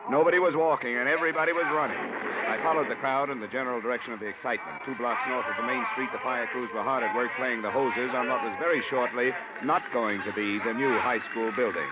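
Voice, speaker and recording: A man's voice: medium pitch, fast, very noisy, lightly acted. A man playing a tough, wry insurance investigator, clipped and dry, narrating a vintage radio detective drama. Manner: clipped, dry, hard-boiled. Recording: dull old radio recording, loud music.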